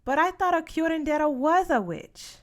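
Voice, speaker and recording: A woman's voice: high voice, normal speed, voice pushed up, natural voice. Woman playing an eager, curious young girl. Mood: eager, insistent.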